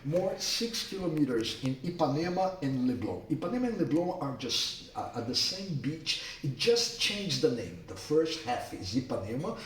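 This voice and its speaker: Man, Brazilian accent, native Portuguese speaker, slightly high voice, emphatic explainer, informal briefing, echoey room, faint noise. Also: sing-song, normal speed, few pauses. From Rio de Janeiro, Brazil. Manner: didactic, emphatic, short repeated statements. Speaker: accommodation host giving a city orientation. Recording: roomy, distant mic, faint background noise.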